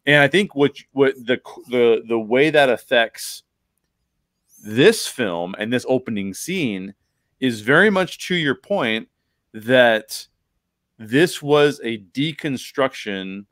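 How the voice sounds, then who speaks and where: A man's voice: mid-range voice, lively intonation, slow pace, a bit bright, no echo, clean studio sound. A man in his forties talking casually and emphatically as a podcast host, explaining his view on films. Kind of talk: casual film discussion. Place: bedroom at home. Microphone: large microphone close to the mouth.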